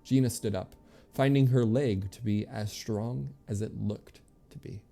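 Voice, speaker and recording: A man's voice: mid-range voice, measured pace, creaky, dark tone, faint music behind. A man streaming a game, reading its text aloud with casual asides to his viewers. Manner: stumbles over names and corrects himself.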